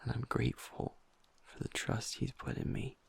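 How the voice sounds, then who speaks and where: A man voicing a gentle young werewolf boyfriend in an intimate audio roleplay. He talks softly and close to the mic, often half-whispering, and pauses and restarts as he confides. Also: mid-range voice, monotone, vocal fry, slightly rough, heavily acted. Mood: vulnerable, confiding. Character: gentle young male werewolf boyfriend, usually upbeat, now downcast.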